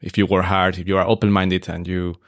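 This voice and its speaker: Low gravelly man. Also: fairly quick, dry recording, studio quality. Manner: relaxed, many fillers and restarts. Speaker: hotel operations expert, guest. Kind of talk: casual interview conversation.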